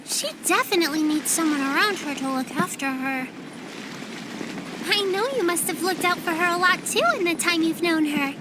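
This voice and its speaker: A woman's voice: very high-pitched, normal speed, heavy background noise. A woman voicing a polite, caring little girl in a video game, earnest and childlike. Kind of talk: video game cutscene acting.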